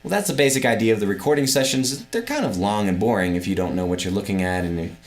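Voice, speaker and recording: A man's voice: on the low side, expressive, fast talker. A man who hosts a podcast, narrating a vlog as a voice-over in a prepared, presentational way. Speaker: podcast host. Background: music under a voice-over.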